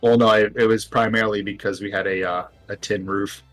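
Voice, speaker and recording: A man chatting casually and haltingly with friends during a video game. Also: fairly low pitch, flat delivery, a bit bright. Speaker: gaming video co-commentator. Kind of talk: casual chat over a game session. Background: game music.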